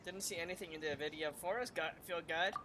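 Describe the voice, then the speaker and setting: A man's voice: on the high side, quick pace, sharp tone, slight background noise. A man giving casual commentary and reactions as he streams a video game. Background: game music.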